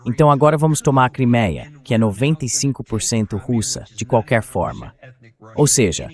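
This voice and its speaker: A man's voice: medium pitch, big pitch swings, a little fast. Brazilian man explaining things in an interview. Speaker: interview guest giving political commentary. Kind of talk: translated interview voice-over.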